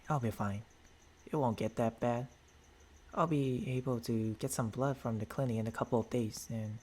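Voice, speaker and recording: A man plays a courteous vampire boyfriend in an intimate ASMR roleplay. His voice is husky and breathy at a medium pitch, close on a binaural mic. He speaks haltingly and formally, tender and apologetic, with hunger underneath. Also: darkish tone, close to an everyday voice. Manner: halting, formal, soothing endearments. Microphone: close binaural microphone.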